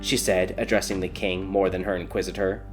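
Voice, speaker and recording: A man's voice: deep voice, flat delivery, fairly quick, over music. A man reading a scripted fairy tale as a dramatic audiobook narrator.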